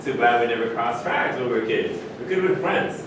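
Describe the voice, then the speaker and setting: A man's voice: sing-song, a little fast, natural voice. Echo-drenched man as a proud basketball star. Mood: wistful. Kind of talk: staged reading of a play. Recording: very echoey hall, distant mic, rumbling noise.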